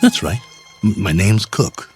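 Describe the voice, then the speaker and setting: An actor playing a stranded space traveler, weary but hopeful, halting and musing in a radio drama. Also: male voice, lowish voice, animated, darkish tone, soft background music. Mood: gentle, hopeful. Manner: halting, musing, talks to himself.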